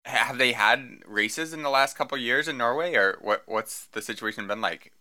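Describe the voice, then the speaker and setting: A man's voice: medium pitch, normal speed, bright, forceful tone, no echo, clean studio sound. A man interviewing a musher, asking friendly questions and helping her find words. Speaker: interviewer, reporter.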